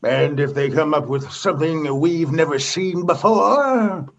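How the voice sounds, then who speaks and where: A man's voice: on the high side, measured pace, vocal fry. A man acting a skeptical, demanding commodore in a comedy sci-fi radio play, with pointed, condescending questioning, on dull muffled audio. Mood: challenging, pointed. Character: skeptical, demanding commodore inspecting a space station.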